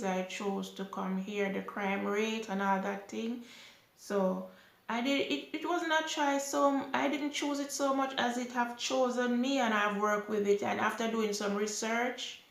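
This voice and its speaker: Jamaican lady on her couch answering viewer qs, slow and easygoing, bit of fry, roomy sound. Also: medium pitch. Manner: unhurried, chatty, with lively rises when emphatic. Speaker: vlogger answering viewer questions. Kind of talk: casual Q&A chat. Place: small indoor room, seated on a sofa. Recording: echoey room.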